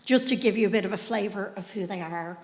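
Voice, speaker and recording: A woman's voice: medium pitch, quick pace. A woman acting as moderator, opening a live panel and introducing the speakers. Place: indoor conference hall. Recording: hall reverb.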